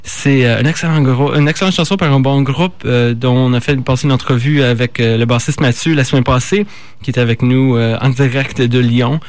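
A man hosts an informal radio show in a Canadian French accent. His delivery is halting and unscripted. He often stumbles over words, backs up and corrects himself. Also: mid-range voice, steady tone. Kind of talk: informal live radio talk.

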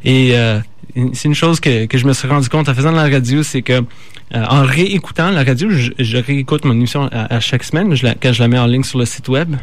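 A man with a Canadian French accent hosting a radio show, talking informally and haltingly as he stumbles over words and corrects himself. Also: medium pitch, no echo. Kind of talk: informal live radio talk.

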